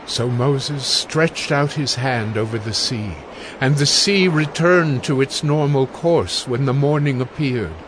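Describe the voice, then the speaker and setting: A man narrating scripture in a scripted, dramatic style, solemn and measured. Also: mid-range voice, noisy background.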